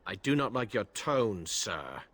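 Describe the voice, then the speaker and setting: Male knight for a game: formal, stern, husky, dramatically varied pitch. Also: very animated, unhurried, a bit bright, full character voice. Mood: indignant, stern. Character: stern, honour-bound knight, accusing and formal. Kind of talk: video game dialogue.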